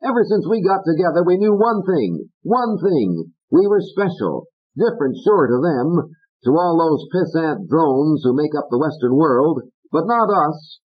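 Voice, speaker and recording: A manic, foul-mouthed ventriloquist dummy rants in a shrill, high voice. The voice leaps up and down as he sneers and bullies. It sounds slightly husky on a dull, lo-fi recording. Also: sing-song, measured pace, exaggerated voice. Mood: ranting. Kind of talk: audiobook character voice.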